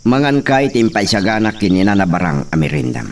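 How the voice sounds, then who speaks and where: A man with an Ilocano accent playing a smooth young gentleman suitor in a radio drama, recorded from a distance. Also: lowish voice, big pitch swings, fairly quick. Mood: courting, reassuring. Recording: distant mic, faint noise.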